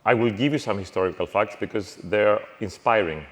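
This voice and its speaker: A man's voice: lowish voice, fairly bright tone. Middle-aged man lecturing in a reverberant hall. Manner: halting, many fillers and restarts. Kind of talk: university lecture.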